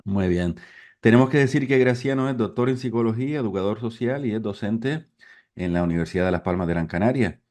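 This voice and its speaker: Enthusiastic low-voiced male host. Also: a little fast, darkish tone. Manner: enthusiastic, lots of affirmations and tag questions. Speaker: program host. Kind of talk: interview hosting.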